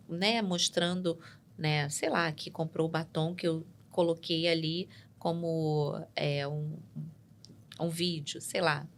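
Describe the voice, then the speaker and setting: Woman psychologist explaining calmly. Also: lowish voice. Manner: measured and explanatory, tags points with "né?". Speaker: psychologist guest. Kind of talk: explanatory interview talk. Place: podcast studio.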